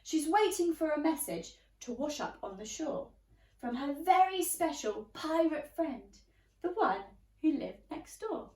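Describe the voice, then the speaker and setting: A woman reading a children's picture book aloud expressively, pausing to ask her young listeners questions. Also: sits high, lively intonation, mellow, distant mic. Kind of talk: animated children's story reading. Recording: roomy, echoey, away from the mic.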